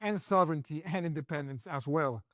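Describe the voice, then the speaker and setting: Male interview guest from Venezuela, native Spanish speaker, fairly high voice, conversational analysis. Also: normal speed, a bit bright. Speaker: interview guest, journalist and writer.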